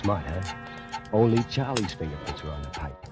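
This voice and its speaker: Scheming killer narrator, confiding and smug, old radio drama with music behind. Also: male voice, deep voice, animated, normal speed. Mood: sly. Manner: sly, confiding, gloating. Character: scheming male factory worker narrating his murder plot. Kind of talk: 1940s radio acting.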